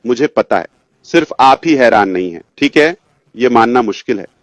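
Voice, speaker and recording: A man's voice: medium pitch, expressive, moderate pace, no room sound. A man in his fifties giving an informal motivational update as a company leader, rambling and addressing his listeners directly. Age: 50s. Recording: dull lo-fi audio, dry.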